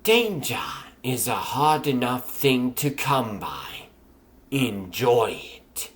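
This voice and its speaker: A man voicing a suave, condescending villain mastermind who craves danger, drawn-out and theatrical, in a comic dub. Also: mid-range voice, big pitch swings. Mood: smug, amused. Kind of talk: comic dub character acting.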